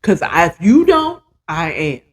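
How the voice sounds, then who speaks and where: A podcast host with a mid-pitched voice tells a story at a slow, drawn-out pace. The delivery is casual and dramatic, leaning on repeated words for emphasis, with blunt, slangy and sometimes profane commentary. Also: very animated, a bit bright. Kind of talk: casual, animated retelling of a story chapter with commentary.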